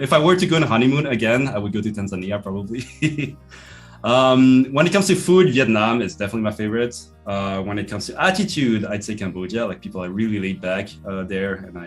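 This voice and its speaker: A man in his thirties from French-speaking Switzerland, with a French accent, answering interview questions thoughtfully over a video call from his office, his sentences trailing off softly. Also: on the low side, fast, soft background music. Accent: French-speaking Swiss. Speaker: guest, professor. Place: office with bookshelves. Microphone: video call webcam.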